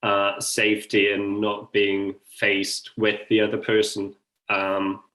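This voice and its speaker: A man's voice: fairly low pitch, monotone, slow pace, a bit bright. A man hosting an online group discussion, speaking in a tentative, inviting way. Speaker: webinar host and facilitator. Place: online video call.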